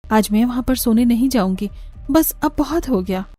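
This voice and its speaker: A woman's voice: on the high side, lively intonation, moderate pace, dark tone, soft background music, close to an everyday voice. A woman voicing an earnest, uneasy but firm young daughter-in-law in a cartoon. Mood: angry, defiant. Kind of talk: cartoon voice acting.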